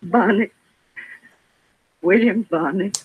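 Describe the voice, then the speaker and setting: A woman's voice: mid-range voice, takes it slowly, slightly rough, dry recording. A woman playing a weary, plaintive mother of a wayward boy in a 1950s western radio drama. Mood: weary, sorrowful. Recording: tinny, narrow-band old radio recording.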